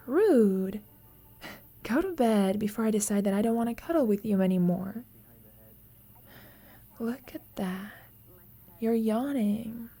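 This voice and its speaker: A woman playing a teasing, affectionate young roommate with a secret crush in an ASMR roleplay, speaking softly and breathily, often in a whisper, with playful, tender gentleness. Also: on the high side, slightly rough, exaggerated voice. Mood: soft, cozy. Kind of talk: ASMR roleplay, intimate sleep-aid audio.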